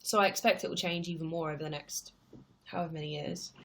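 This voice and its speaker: A woman's voice: low voice, steady tone, fast, fairly bright tone, a little hiss or hum. A woman in her twenties, chatting casually on a livestream, reading viewer questions aloud and answering at rambling length. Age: 20s. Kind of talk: casual live chat.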